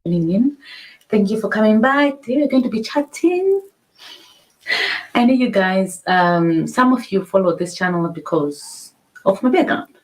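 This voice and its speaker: A woman speaks directly to her followers in a personal video. Her delivery is gentle, earnest and plain, at an ordinary pitch. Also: expressive, unhurried, rough recording. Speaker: video creator. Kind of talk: direct-to-camera personal talk.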